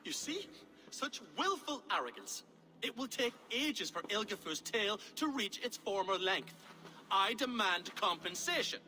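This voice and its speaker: A man's voice: high voice, very animated, measured pace, sharp tone, big-room sound, soft background music, heavily acted. A man playing a fussy, courteous, aggrieved horse keeper in a video game, speaking in a formal, plaintive, indignant manner. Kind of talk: dramatic video game acting.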